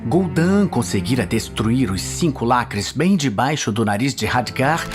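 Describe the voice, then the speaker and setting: A man with a Brazilian accent narrating a fantasy audio series in a solemn, suspenseful, measured style. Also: mid-range voice, animated. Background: dramatic music.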